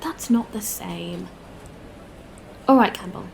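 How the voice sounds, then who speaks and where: A woman playing an earnest, outspoken spaceship captain in a science-fiction audio drama, with an expressive delivery. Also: medium pitch, slow, gravelly, slight background noise. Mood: warm, teasing.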